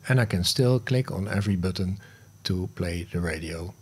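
A man in his fifties narrating a step-by-step software tutorial in short instructional phrases, recorded on a close studio mic. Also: low voice, normal speed. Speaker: host of smart home tutorial videos. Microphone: close studio mic on a boom arm.

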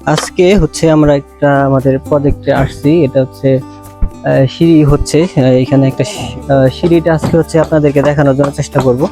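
A man's voice: fairly high pitch, fairly even intonation, moderate pace. A man with a Bangladeshi accent gives an informal on-site instructional explanation as an engineer, repeating himself and hesitating, with frequent filler phrases. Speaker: civil engineer presenting a how-to video. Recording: music running behind the voice.

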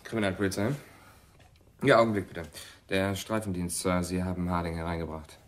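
A man's voice: low voice, flat delivery, brisk, natural voice. A man playing a dutiful, unruffled police assistant in a German radio crime drama, brief and deferential. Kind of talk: German radio play acting.

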